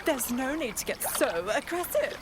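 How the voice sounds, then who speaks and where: A dramatic, entitled waterfowl fangirl , played by a woman, high and wildly animated. She gushes and huffs in a comic radio play, with loud water noise behind her. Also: moderate pace. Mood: indignant. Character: gushing, dramatic female waterfowl, an entitled fan. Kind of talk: comedic audio drama acting.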